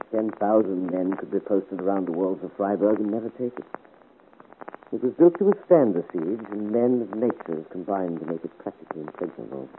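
An actor in a 1950s radio adventure serial playing a seasoned musketeer who comments in a dry, sardonic way. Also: male voice, low voice, moderate pace, slight background noise, close to an everyday voice. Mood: wry, calm. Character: wry, seasoned musketeer companion to the hero. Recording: tinny old radio recording with crowd clamour.

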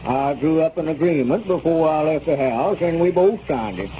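An actor playing a sly, smooth-talking older country squire with an oily, ingratiating manner and a rural Arkansas drawl. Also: male voice, on the high side, lively intonation, normal speed, dark tone, slight background noise, lightly acted. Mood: smug. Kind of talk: 1930s radio comedy acting. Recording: muffled 1930s radio broadcast.

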